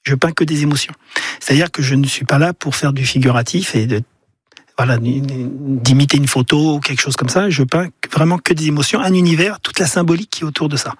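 Un homme d'âge mûr, originaire de Paris, invité d'un studio de radio, parle de son travail de peintre avec beaucoup d'hésitations. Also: male voice, on the high side, steady tone, fairly quick, breathy edge, dry recording. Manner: hesitant, many fillers and restarts. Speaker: painter and guest. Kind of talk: radio interview answers. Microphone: studio microphone.

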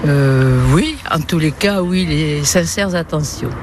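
An elderly woman with a softened Toulouse accent chats as an interviewee, chuckling and repeating short phrases. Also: low-pitched, slow pace, noisy background. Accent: softened Toulouse, southern French. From Toulouse, southern France. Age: 70s or older. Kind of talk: casual chat.

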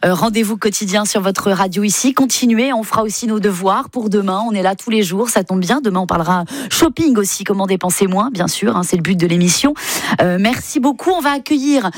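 A woman's voice: medium pitch, no echo. A woman hosting a live radio call-in show from a studio, effusive and affectionate with guests and listeners. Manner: effusive, affectionate, quick to laugh. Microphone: studio microphone.

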